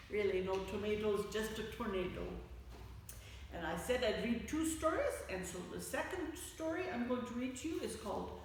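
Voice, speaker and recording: A woman reading a picture book aloud to children, with expressive, deliberate phrasing that stresses key words. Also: medium pitch. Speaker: children's story reader. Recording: echoey hall, distant mic, faint noise.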